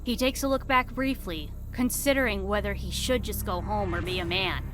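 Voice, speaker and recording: A woman's voice: medium pitch, normal speed. A woman reading scripted storybook narration for an audio drama.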